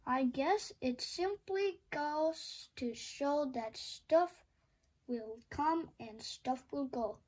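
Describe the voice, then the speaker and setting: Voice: high voice, extremely slow. A young reader playfully reading a children's picture book aloud and putting questions to the listener. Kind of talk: children's storybook read-aloud with sung refrains.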